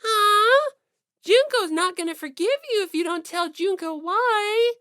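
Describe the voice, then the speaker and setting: A woman's voice: extremely high pitch, lively intonation, a little slow, sharp tone, dry recording, clean studio sound, pitched above the performer's own voice, heavily acted. A woman voicing a vain, brash teenage fashion-model schoolgirl who teases and scoffs in a cutesy, scornful way. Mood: teasing. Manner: teasing, cutesy then scornful.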